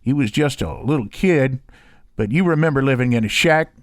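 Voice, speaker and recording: A man's voice: medium pitch, animated, moderate pace, no echo, clean studio sound, lightly acted. A man voicing a stubborn, anxious older rural father in an audiobook, with folksy, insistent speech. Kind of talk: audiobook character dialogue.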